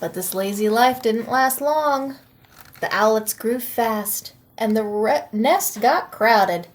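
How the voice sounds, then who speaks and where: A woman teacher reads a picture book aloud to children, taking her time with each line. Her voice sits a little high, and her delivery is slow and expressive. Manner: slow, expressive storytime reading.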